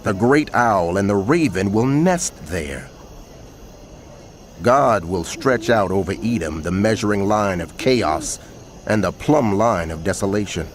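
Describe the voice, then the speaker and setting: A man's voice: on the low side, lively intonation, measured pace. A man narrating dramatized scripture in a solemn, emphatic, declamatory manner.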